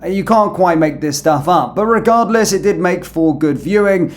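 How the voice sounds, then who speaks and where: A man's voice: high voice, lively intonation, normal speed. A man hosting a reaction channel, talking casually to his viewers as he comments. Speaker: YouTube host reacting to clips.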